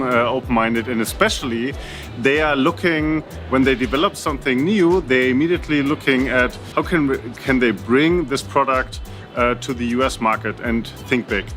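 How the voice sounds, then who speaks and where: A man's voice: mid-range voice, normal speed, fairly bright tone. Vc partner guy, enthusiastic, a few uhs, light music in the back. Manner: enthusiastic, hesitant with fillers and restarts. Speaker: venture capital partner. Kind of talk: interview remarks.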